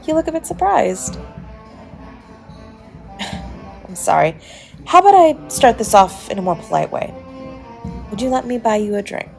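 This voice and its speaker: A woman's voice: a little high, sing-song, unhurried, creaky, long pauses, full character voice. A woman playing a charming, flirtatious stranger at a party, chatty, coy and teasing, in an intimate romantic audio roleplay. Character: charming, playfully flirtatious adult party guest, smooth-talking stranger. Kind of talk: romantic audio roleplay, intimate one-sided dialogue to the listener. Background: music behind the voice.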